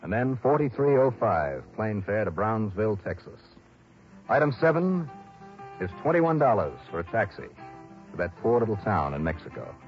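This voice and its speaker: An actor playing a wry, brisk insurance investigator in a 1960s radio drama, narrating with punchy, clipped emphasis. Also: male voice, lowish voice, big pitch swings. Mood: dry, matter-of-fact. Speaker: lead actor and narrator of a radio detective series. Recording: dull old radio broadcast with music.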